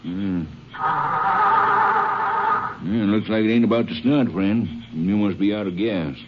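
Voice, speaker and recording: An actor playing a folksy, laconic small-town Texas constable in 1950s American radio drama. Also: male voice, lowish voice, unhurried, close to an everyday voice. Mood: helpful, easygoing. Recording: dull, hissy 1950s radio recording.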